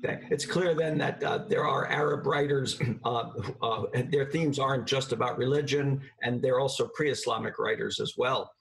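A man in his sixties conducting a formal interview over a video call, with a halting, hesitant delivery. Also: mid-range voice, fairly even intonation, creaky, rough recording. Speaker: interviewer, host. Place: indoor room, video call.

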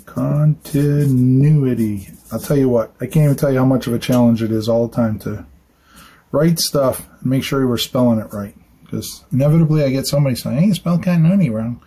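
A man's voice: medium pitch, brisk, mellow, husky. A man in his fifties, an architect, casually explaining a construction drawing in a chatty, informal way with lots of fillers and tag questions. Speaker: architect explaining building details. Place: small studio room with dark curtains.

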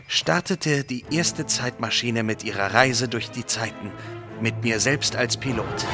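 A man's voice: mid-range voice, lightly acted. Man acting an earnest Victorian inventor, music behind. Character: male Victorian inventor time traveller, earnest and composed. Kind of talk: German audio drama acting.

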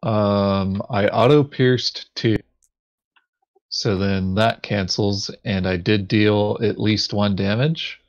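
A man's voice: lowish voice, fairly even intonation, slow, lo-fi sound. A man chatting casually as he thinks through his moves in an online tabletop game. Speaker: board game player.

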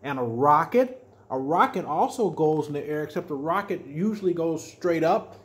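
An older man reading a children's picture book aloud as a storyteller, adding simple explanations with drawn-out emphatic words. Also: a little high, lively intonation. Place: small furnished room at home, indoors.

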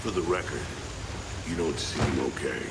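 An actor playing a gruff, battle-hardened veteran soldier in a video game, with terse, dry delivery. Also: male voice, extremely low pitch, normal speed, very noisy. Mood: stern. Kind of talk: video game cinematic acting. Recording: echoey, under loud game music.

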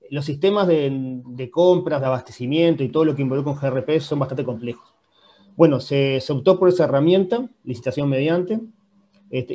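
A man's voice: medium pitch, dark tone. A man in his forties with a Uruguayan Spanish accent, giving an informal, explanatory talk on a video call, often adding little checking questions. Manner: explanatory, punctuated with checking tags. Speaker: guest speaker, public-sector IT manager. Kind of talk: informal online panel presentation. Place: indoor room, video call. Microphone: earphone headset on video call.

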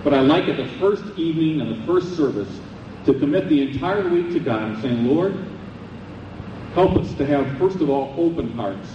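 A man giving an earnest evening sermon to teenagers at a camp, hoarse and losing his voice. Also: fairly high pitch, animated, normal speed, background noise. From United States. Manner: earnest, exhorting. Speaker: camp speaker. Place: camp chapel with a live audience. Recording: echoey dull 1980s live tape from far off.

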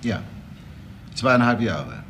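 A formal male notary in a radio crime drama, with a very low voice in an echoey room. He is reserved and terse. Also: big pitch swings, drawn-out words, a bit bright, full character voice. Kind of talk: German crime radio play acting. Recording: echoey room with faint noise.

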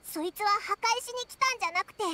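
A woman's voice: very high-pitched, fast talker, pushed and bright. A woman voicing a tiny, childlike, inquisitive fairy companion in an anime game. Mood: curious. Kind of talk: Japanese anime game voice acting.

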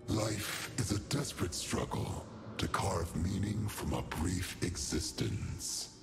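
Deep, gravelly ancient monster. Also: male voice, over loud music, full character voice. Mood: weary, proud. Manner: slow, grand, theatrical pronouncements. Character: ancient giant scorpion guardian, proud, menacing, paranoid. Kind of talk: video game character voice lines.

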